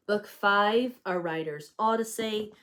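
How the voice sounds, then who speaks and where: A woman's voice: medium pitch, slow pace, fairly bright tone, slight background noise. Young woman, bit of a cold, chatty book vlogger, lots of fillers. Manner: chatty, lots of ums and likes. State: has a cold, may cough. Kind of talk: casual chat.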